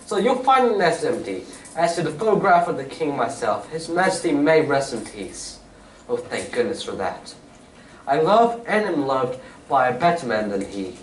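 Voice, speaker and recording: A man playing a confident Victorian gentleman detective in a radio drama read on stage, with formal, old-fashioned phrasing. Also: on the high side, sing-song, normal speed. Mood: reading, admiring. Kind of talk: 1940s-style radio drama stage acting. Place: community theatre stage reading. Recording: echoey hall, distant mic, faint noise.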